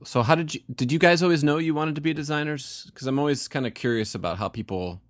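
A man hosting a podcast interview, asking curious questions in a casual, halting way with lots of fillers. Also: fairly high pitch, no echo, clean studio sound. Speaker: podcast host.

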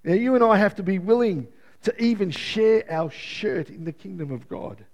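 Man, preacher, high voice, strained and fading voice, earnest, conversational, chuckles and restarts. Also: lively intonation, moderate pace, a little mellow, lo-fi sound. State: losing his voice. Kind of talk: sermon.

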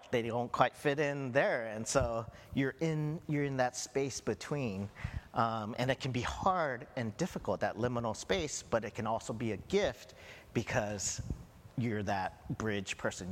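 A man's voice: mid-range voice, measured pace. A middle-aged American man preaching a sermon as pastor, talking conversationally with frequent "right?" asides. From United States. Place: church hall. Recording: long hall reverb.

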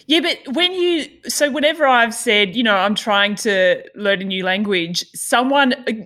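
A woman's voice: on the high side, lively intonation, moderate pace, a bit bright. A woman hosting a podcast in a casual, informal chat, asking inquisitive questions. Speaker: podcast host.

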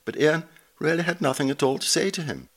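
A man's voice: on the high side, animated, fairly quick. A man reading written notes aloud as a scripted narrator, dramatising the recollections as he goes.

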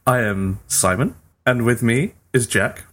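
A man's voice: mid-range voice, a little slow, a bit bright. A man hosting a casual group chat show, talking in an informal, conversational way. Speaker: podcast host. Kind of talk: casual group chat about films and games.

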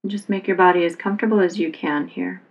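A woman yoga instructor leading a guided relaxation meditation in a calm, soothing, unhurried manner. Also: lowish voice, muffled recording.

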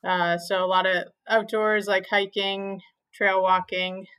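A woman's voice: mid-range voice, flat delivery, slow pace, fairly bright tone, clear, resonant tone. A young woman from Maine, a physical therapist, answering interview questions plainly with frequent "um"s. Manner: plain, many "um"s, simplifies explanations.

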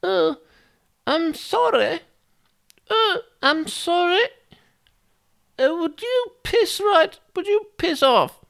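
Man putting on a high, wavering old Yorkshire woman. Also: sing-song, takes it slowly, creaky, long pauses, a bit bright, full character voice. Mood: apologetic. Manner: wavering, apologetic, exclaiming. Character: frightened elderly northern woman, mild but sweary.

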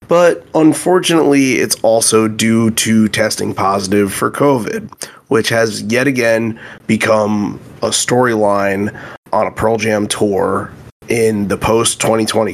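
A man hosts a music podcast in a low, somewhat hoarse voice. He talks in a conversational way, like a host addressing regular listeners.

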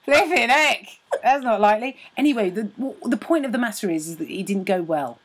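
A tired woman co-hosting a casual podcast, with a lively, swooping voice that jumps around as she talks. She rambles and restarts, laughing at herself, recorded on a laptop with no proper microphone. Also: fairly high pitch, fairly quick, very noisy. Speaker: podcast co-host and comedian. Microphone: recorded without a microphone, on a laptop.

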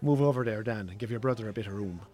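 A man's voice: lowish voice, racing, crowd noise, natural voice. An actor in a radio drama playing a well-off Irish businessman who is jovial, pushy and patronising. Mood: brisk, commanding. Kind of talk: naturalistic radio drama acting.

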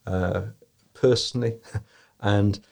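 Gravelly, measured man. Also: on the low side, fairly even intonation, darkish tone. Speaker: tour company guest. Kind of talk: podcast interview answers.

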